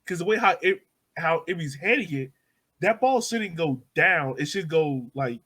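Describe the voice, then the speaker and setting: A man's voice: on the high side, animated, normal speed, a bit bright, breathy edge. A man casually debating soccer on a podcast, insistently explaining his view step by step. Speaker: podcast host and soccer supporter.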